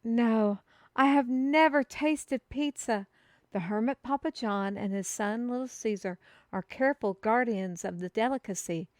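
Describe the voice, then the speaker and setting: A woman acts out an earnest female herald in a fantasy audio drama, proclaiming in grand, old-fashioned phrases. The voice sits on the high side and moves slowly, with an excitable streak. The sound is close and dry. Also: dry recording, close to an everyday voice. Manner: theatrical, proclaiming, old-fashioned formal wording. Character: excitable, earnest female kingdom messenger bearing a food prophecy. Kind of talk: fantasy audio roleplay, speaking to one listener.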